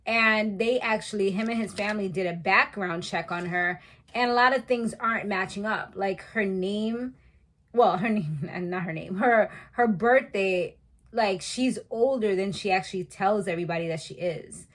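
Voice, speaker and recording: A woman's voice: medium pitch, normal speed, fairly bright tone. A woman in her thirties casually recapping a reality show, chatty and slangy, laughing at her own asides. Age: 30s.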